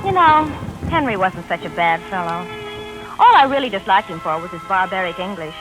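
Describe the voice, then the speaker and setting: An actress playing a teasing, adoring young wife in a 1940s radio drama. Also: female voice, mid-range voice, sing-song, brisk, natural voice, rough recording. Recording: old radio recording with music behind.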